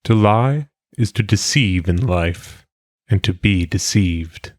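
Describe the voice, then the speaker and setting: A man reading philosophical maxims aloud in a solemn audiobook style, with measured, deliberate phrasing. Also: sits low, no room sound, clean studio sound. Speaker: reader.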